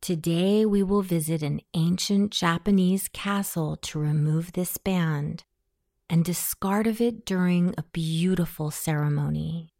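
A woman guiding a hypnosis meditation in a soothing, measured way, with long pauses between phrases. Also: lowish voice, warm tone, no room sound, clean studio sound. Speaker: hypnosis session guide. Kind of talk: guided meditation, self-hypnosis narration.

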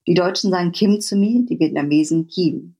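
A woman's voice: on the low side, normal speed, warm tone, breathy edge. A woman author reading aloud from her own novel, in scripted first-person literary narration.

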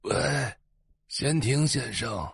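An actor playing a solemn, cryptic old seer, deferential, with an aged, gravelly voice. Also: male voice, mid-range voice, animated, no room sound, studio quality, exaggerated voice. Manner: slow, solemn, respectful.

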